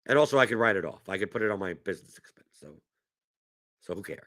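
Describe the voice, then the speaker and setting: A man's voice: lowish voice, animated, quick pace, fairly bright tone. A man hosting a fantasy sports strategy stream, chatting casually and answering chat questions while losing his voice to a cough, often repeating words as he restarts his thoughts.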